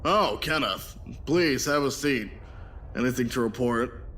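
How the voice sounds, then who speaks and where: Man acting a slow-talking, careworn ship captain. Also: medium pitch, a bit bright, natural voice. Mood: pensive, preoccupied. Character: careworn, brooding male ship captain under strain. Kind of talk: audio drama acting.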